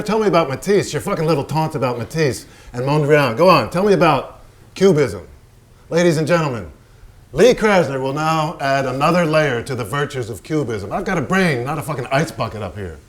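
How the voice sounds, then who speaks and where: A man's voice: a little high, lively intonation, brisk, natural voice. A man playing a volatile, foul-mouthed, hard-drinking painter in a theatrical staged reading, blunt and brooding. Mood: furious, taunting. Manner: blunt, profane, brooding, given to repetition.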